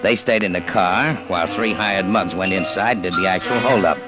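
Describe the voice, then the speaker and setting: An actor playing a tough, matter-of-fact gangland insider with slangy, ungrammatical talk in an old radio crime show. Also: male voice, low-pitched, brisk, loud music behind the voice, natural voice. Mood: knowing. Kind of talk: old-time radio crime documentary acting.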